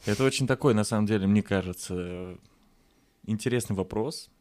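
Male podcast host, slightly low pitch, casual, reflective, hedging delivery. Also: normal speed, a little mellow. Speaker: podcast host posing questions. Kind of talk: casual chat.

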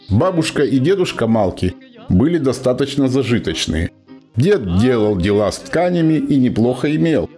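A male narrator tells a story for a radio drama with a medium pitch and a very animated intonation. He phrases dramatically, leaving deliberate pauses, with music playing behind him. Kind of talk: expressive scripted storytelling for a radio play.

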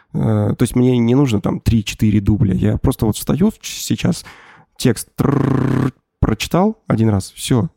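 A man with a gravelly, mellow voice talks through his work in a loose, rambling way, stopping and restarting often. The sound is dry and close, with no room echo. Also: medium pitch. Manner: rambling, many fillers and restarts. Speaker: animation channel creator and voice actor. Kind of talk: casual interview answers.

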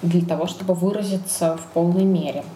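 A woman co-host explaining in a measured, halting way during a conversational podcast discussion. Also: lowish voice, steady tone, mellow. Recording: roomy echo, faint background noise.

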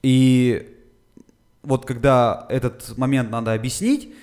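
A man's voice: mid-range voice, fairly even intonation, takes it slowly, darkish tone. A man, a fitness coach, talking casually on a podcast, explaining things step by step with frequent "uh" fillers. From Urals, Russia. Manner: methodical explainer with filler sounds.

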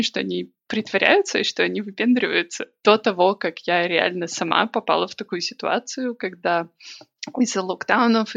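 A woman speaking as a podcast guest in casual, reflective talk, thinking aloud with pauses. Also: medium pitch, gravelly, fairly bright tone. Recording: dull lo-fi recording.

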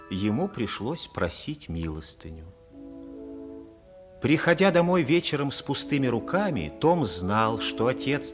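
A man narrating a radio play as a storyteller, in a dramatic and measured manner. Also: medium pitch. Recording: vintage 1950s radio play recording.

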